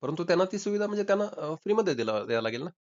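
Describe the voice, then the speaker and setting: Fast-talking insistent man on muffled call. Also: fairly high pitch, big pitch swings. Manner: insistent, argumentative, repeating himself. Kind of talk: informal debate. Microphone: recorded phone call. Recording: dull lo-fi call recording.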